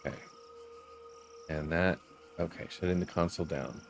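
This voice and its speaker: A man's voice: low-pitched, moderate pace, vocal fry, background noise. A man giving casual, explanatory commentary as the host of a gaming video. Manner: explanatory, reads quest text aloud.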